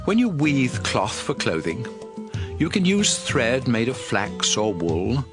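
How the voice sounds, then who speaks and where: A man reading scripture as an audio Bible narrator, in a measured, solemn, instructive way. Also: medium pitch, very animated. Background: music under the voice.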